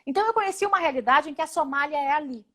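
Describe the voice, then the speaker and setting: Woman, 40s, Brazilian Portuguese accent, high voice, emphatic, indignant, list-like delivery, video call interview. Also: pushed and bright. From Paraná, Brazil. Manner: emphatic, indignant, speaks in rapid lists. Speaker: congresswoman guest.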